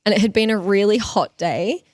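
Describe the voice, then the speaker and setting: A woman's voice: mid-range voice, moderate pace, no room sound. A woman co-hosting a podcast, chatting and reading listener stories aloud. Her voice is partly lost, and she laughs often. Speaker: podcast co-host.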